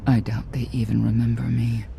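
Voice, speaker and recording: A woman playing a weary, guarded middle-aged mother turned stern knight in a video game, speaking in a measured, solemn way with a creaky voice. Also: a bass voice, level tone, mellow, a little hiss or hum, full character voice. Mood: resigned regret. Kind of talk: dramatic video game dialogue.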